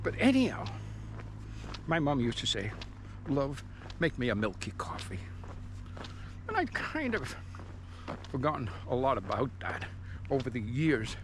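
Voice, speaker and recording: A middle-aged man from England talks in a rambling, chatty way, his worn by years in America. He chuckles and restarts as he vlogs to a camera in a cafeteria. Clear background noise and passing vehicles sit behind his voice. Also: mid-range voice, sing-song, measured pace, pauses often. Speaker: hiking vlogger. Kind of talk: casual vlog to camera. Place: mountain resort cafeteria, indoors.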